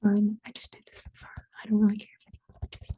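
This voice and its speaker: A woman's voice: mid-range voice, completely flat delivery, fast talker, mellow. A young adult woman with a Canadian accent whispering casually in a rambling, apologetic ASMR style, close to the microphone. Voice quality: breathy whisper. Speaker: ASMR video creator.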